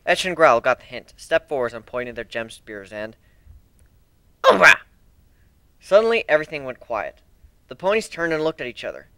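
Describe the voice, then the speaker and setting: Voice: mid-range voice, sing-song, fairly quick, clear, resonant tone, no room sound. A person narrating a fan fiction story as a dramatic reader.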